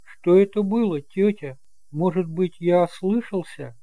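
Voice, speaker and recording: A man's voice: sits high, unhurried, mellow, no room sound, pitched above the performer's own voice, poor audio. A man playing an earnest young bridegroom in an audiobook drama, uneasy, subdued and hesitant.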